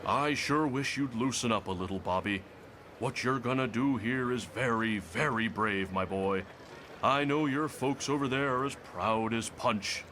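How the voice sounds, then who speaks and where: A man's voice: mid-range voice, a little slow, bright, forceful tone, a little hiss or hum. Man acting oily, folksy American senator. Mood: coaxing. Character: folksy, manipulative middle-aged male senator, bullying beneath charm. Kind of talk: motion comic voice acting.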